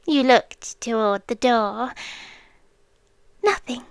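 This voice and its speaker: A British woman playing an obsessive, creepily devoted yandere girlfriend in an intimate ASMR roleplay, breathy and often whispering, lingering on short phrases. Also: a little high, takes it slowly, dry recording. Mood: teasing menace. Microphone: close microphone, dry intimate recording.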